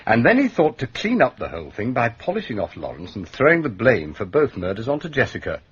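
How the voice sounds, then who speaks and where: An urbane gentleman sleuth in a radio play, with an educated English accent. His voice is very husky and swoops freely as he muses with dry, donnish amusement. The recording is slightly muffled. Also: mid-range voice, huge pitch swings, a little fast, heavily acted. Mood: explaining, thoughtful. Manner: musing, understated, donnish. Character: Albert Campion, urbane, dryly amused gentleman detective. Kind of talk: British radio drama acting.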